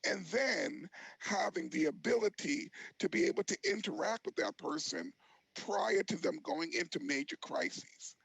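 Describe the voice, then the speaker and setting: A man presenting as an expert to a virtual commission meeting, earnest and halting, with repeated words for emphasis and a raspy, creaky voice. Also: sits high, big pitch swings, fairly bright tone, no echo, rough recording.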